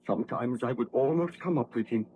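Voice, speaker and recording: A man's voice: medium pitch, fairly quick, darkish tone, slight background noise, natural voice. An actor playing a haunted, vengeful baron in old radio drama style, telling his story in formal, fervent, theatrical speech. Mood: grave, driven. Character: haunted, exhausted, vengeful male baron recounting his hunt. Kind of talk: 1940s radio drama acting.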